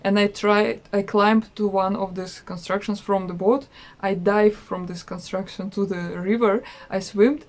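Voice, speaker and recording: A woman in her thirties with a Russian accent, chatting casually about a trip in a travel vlog with many pauses and fillers. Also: mid-range voice, steady tone, darkish tone. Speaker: travel vlogger.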